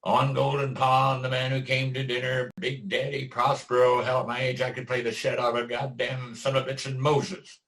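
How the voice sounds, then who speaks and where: An actor playing an aging veteran stage actor, wry, profane and theatrical. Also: male voice, mid-range voice, flat delivery, normal speed, hardly any pauses, fairly bright tone, close to an everyday voice, low-quality recording. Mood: grumbling. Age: older. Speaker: stage actor in a play reading. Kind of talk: stage play reading.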